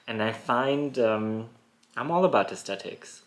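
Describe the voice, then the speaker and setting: A man, born in Poland, hosting a reflective solo podcast in a thoughtful, musing way with frequent soft laughs. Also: medium pitch, lively intonation, measured pace. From born in Poland, raised in Germany. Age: 30s. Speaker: podcast host. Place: rural home in France.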